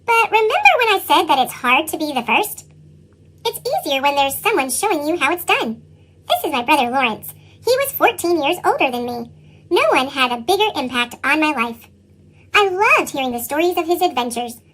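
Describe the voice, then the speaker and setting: A woman reading a children's picture book as an earnest young boy, with a squeaky pitched-up chipmunk effect. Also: moderate pace. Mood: earnest, chirpy. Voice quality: squeaky, sped-up chipmunk sound. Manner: animated children's read-aloud delivery. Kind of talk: scripted picture-book narration.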